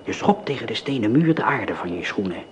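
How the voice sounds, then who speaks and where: Cold commanding gang leader. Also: male voice, fairly low pitch, fairly quick, slight background noise. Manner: calm, precise, giving orders. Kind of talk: radio drama acting.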